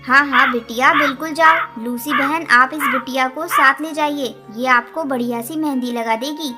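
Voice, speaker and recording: A woman's voice: high voice, moderate pace, music in the background, natural voice. A woman voicing a kindly, motherly female parrot in a cartoon, speaking in a caring, earnest way. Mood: cheerful, reassuring. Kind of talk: Hindi cartoon dialogue.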